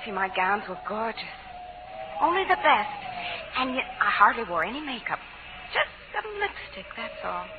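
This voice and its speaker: A noisy, narrow old radio recording holds a faded, boozy gangster's moll. Her voice is high, slow and halting, plaintive and needy. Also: big pitch swings. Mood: wistful. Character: faded alcoholic lounge singer, needy, pleading, wistful gangster's moll. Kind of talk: 1940s radio drama acting.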